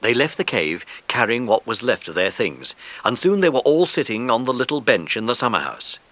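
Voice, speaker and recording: A man narrating a children's story in an expressive, dramatic way, as in a scripted audiobook. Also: medium pitch, normal speed, no echo.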